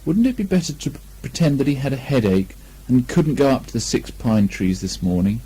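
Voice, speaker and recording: Male children's story narrator: mellow, slightly husky, expressive, very varied intonation. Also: mid-range voice, brisk. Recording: lo-fi 1980 amateur recording.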